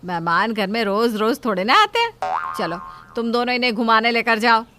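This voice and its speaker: A woman's voice: fairly high pitch, brisk, pushed and bright, a little hiss or hum. A woman voicing a bossy middle-aged mother-in-law in a Hindi cartoon story, scolding and giving orders. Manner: scolding, commanding. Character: bossy middle-aged Indian mother-in-law, demanding, fussy about guests.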